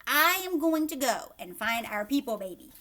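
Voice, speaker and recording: A woman's voice: high voice, sing-song, moderate pace, noisy background. A woman voicing a determined young girl in a storybook read-aloud. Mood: indignant.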